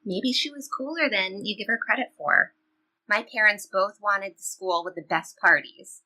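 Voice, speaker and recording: A woman's voice: medium pitch, normal speed, bright, forceful tone, husky, low-quality recording. A woman playing a loyal, upbeat seventeen-year-old girl in an audio play, reassuring and playful in everyday dialogue. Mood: playful, encouraging. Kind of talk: audio play reading, conversational teen dialogue.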